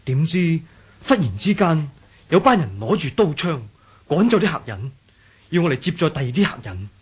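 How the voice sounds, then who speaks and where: A man's voice: a little high, very animated, moderate pace, slightly rough, full character voice. A man with a Hong Kong Cantonese accent playing a loyal, watchful rebel fighter who reports earnestly in a radio drama. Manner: earnest, vivid storytelling. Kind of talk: 1980s radio drama acting.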